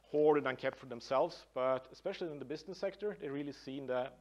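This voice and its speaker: A middle-aged Norwegian man presents at a conference in a plain, mid-pitched voice. He talks loosely, with restarts and filler sounds along the way. He is heard from across a large meeting room. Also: fast talker, dull audio. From Norway. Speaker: conference speaker, design professor.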